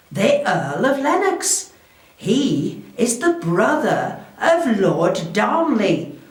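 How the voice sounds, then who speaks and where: A woman playing a proud, shrewd, wealthy Elizabethan noblewoman, delivering a formal, theatrical first-person historical monologue in a deliberate way. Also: medium pitch. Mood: dramatic, proud. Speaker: volunteer historical re-enactor. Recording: echoey room, distant microphone.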